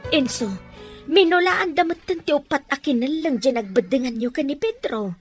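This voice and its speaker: A woman's voice: high-pitched, animated, normal speed, faint music behind. A woman with an Ilocano accent playing a worried, disapproving farm wife in an audio drama. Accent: Ilocano, from the Philippines.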